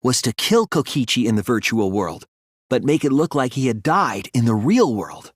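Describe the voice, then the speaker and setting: Man voicing an earnest, expressive teenage detective. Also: mid-range voice, no echo, studio quality, lightly acted. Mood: earnest, analytical. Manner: earnest, deliberate, explanatory. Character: earnest, thoughtful teenage male detective student. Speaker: voice actor. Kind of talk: dramatic English game dub, deductive explanation.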